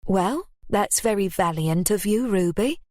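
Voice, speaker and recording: A woman reading an audiobook, voicing a calm, controlled woman who asks pointed questions in an even tone. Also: mid-range voice, measured pace, dry recording, studio quality, natural voice. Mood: cool.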